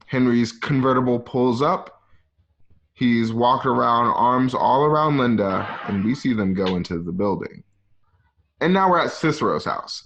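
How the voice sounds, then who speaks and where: A man's voice: mid-range voice, a bit bright. Man narrating, muffled lo-fi recording. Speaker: narrator of an online radio play. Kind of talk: conversational reading of stage directions. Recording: dull lo-fi home connection.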